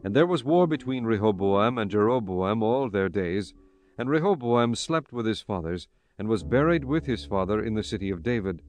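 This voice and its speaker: A male narrator reads scripture in a fairly low voice. His delivery is measured and solemn, with music playing behind him. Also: expressive, a little mellow.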